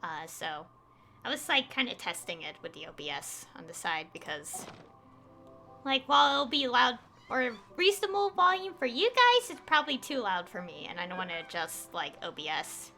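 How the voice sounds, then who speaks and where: A woman's voice: a little high, big pitch swings, moderate pace, pushed and bright, soft background music. A woman game streamer chatting casually in a rambling, informal way into a headset. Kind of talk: casual livestream chat. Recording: faint background noise.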